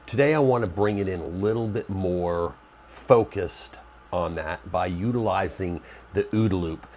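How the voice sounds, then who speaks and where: A man with a very deep voice, nasal and stuffed up from a cold, talks through his preparedness channel in a loose, rambling way. He keeps stopping to correct himself. A faint hiss sits under the recording. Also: measured pace, darkish tone. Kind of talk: casual talk to camera.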